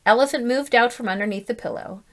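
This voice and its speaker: A woman reading a children's story aloud as a teacher, in an animated, deliberate way, putting questions to her listeners. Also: on the high side.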